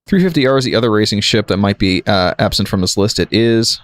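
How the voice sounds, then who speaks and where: A man's voice: fairly low pitch, lively intonation, fast, no echo. A man hosting a gaming livestream, thinking aloud in an analytical, jokey way with casual commentary. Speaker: livestream gamer, host.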